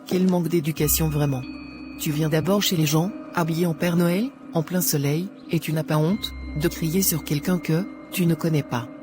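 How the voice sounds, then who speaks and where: Guy doing worried churchy mom, kinda indignant, highish male voice, cartoon w music. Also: steady tone, normal speed, lightly acted. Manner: fretful, indignant.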